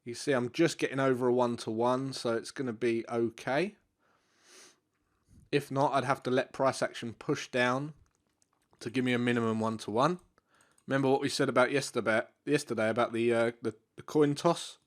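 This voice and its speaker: A man with a congested, stuffy nose, walking through market charts in a relaxed way with many fillers and restarts. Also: mid-range voice, moderate pace. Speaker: forex trading educator. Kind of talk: live market analysis walkthrough.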